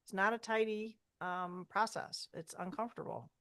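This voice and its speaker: A woman's voice: mid-range voice, moderate pace, fairly bright tone. A woman co-hosting a podcast in casual chat, speaking in a matter-of-fact, emphatic way. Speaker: co-host.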